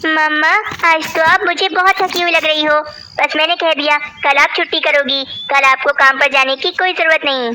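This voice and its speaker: Young bird son for cartoon, woman performing: high, bright, earnest, pleading. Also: fairly even intonation, moderate pace. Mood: worried. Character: young bird child, caring and worried son. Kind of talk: Hindi cartoon voice acting.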